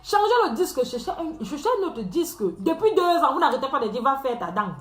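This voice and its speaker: Woman, live-stream host, high mocking voice, echoey room, teasing and laughing. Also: big pitch swings, a little fast. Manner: teasing, laughs often, repeats phrases.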